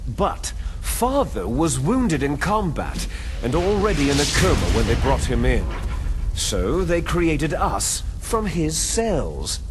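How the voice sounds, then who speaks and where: A bitter soldier villain from a video game, delivering grandiose monologues in a fairly high male voice that swoops widely. He is menacing and taunting, drawing out his words with theatrical relish. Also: background noise. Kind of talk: dramatic video game cutscene acting.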